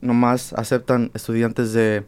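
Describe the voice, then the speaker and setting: A young man student talks loosely in a group conversation, his voice clear and smooth. He drifts between languages mid-sentence in an easy, informal way. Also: medium pitch, level tone, measured pace, darkish tone. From United States. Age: young adult. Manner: casual, switches into English mid-sentence. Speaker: university student. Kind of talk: casual group discussion.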